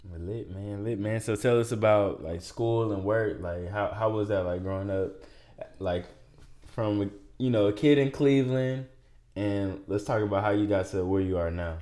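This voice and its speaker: A man hosts a podcast interview, asking questions in a loose, slang-filled way. His voice sits somewhat low. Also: moderate pace, warm tone. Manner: laid-back slang-heavy questions. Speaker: podcast host.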